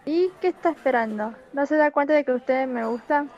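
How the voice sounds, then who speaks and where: Radio play lead: scheming young woman, female actor, high pitch, slightly dull recording. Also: brisk, a little mellow, close to an everyday voice. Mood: coy. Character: scheming young woman secretly bent on avenging her father. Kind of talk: amateur radio play acting.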